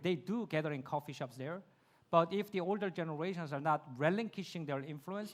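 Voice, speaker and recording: A man speaking English as a conference speaker, answering audience questions in a thoughtful, explanatory way; his first language is Korean. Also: fairly high pitch.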